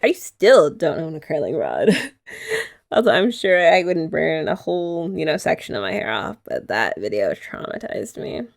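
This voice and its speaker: A woman's voice: sits low, big pitch swings, normal speed, vocal fry. A young woman hosting an ASMR girlfriend roleplay, chatty and giggly as she rambles. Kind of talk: soft-spoken ASMR roleplay chat.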